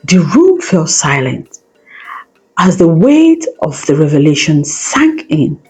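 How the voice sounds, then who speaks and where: A woman narrates a scripted folktale in a soft, mellow tone. Her delivery moves up and down with plenty of expression, and her voice has a slightly husky grain. Music plays behind the storytelling. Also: low-pitched, big pitch swings, takes it slowly. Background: background music.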